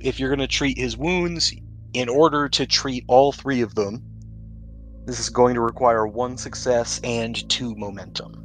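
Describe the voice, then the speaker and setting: A man with a medium-pitched voice runs a tabletop game as its game master. He lays out rules and scenes in a measured, explanatory way, with faint music behind him. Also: fairly even intonation, low-quality recording.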